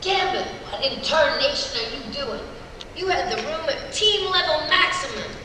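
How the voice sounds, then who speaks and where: Cartoon hero gal, feisty and folksy, echoey, far from mic. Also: female voice, high voice, animated, moderate pace, background noise. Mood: angry. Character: feisty, folksy Southern superheroine. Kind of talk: live animated-series table read.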